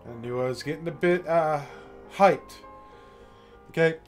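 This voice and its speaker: A man with a somewhat raised pitch delivers a passionate, emphatic monologue on a late-night stream. He often stops to check in with a pointed "okay?". Soft music plays faintly in the background. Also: measured pace. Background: faint classical music.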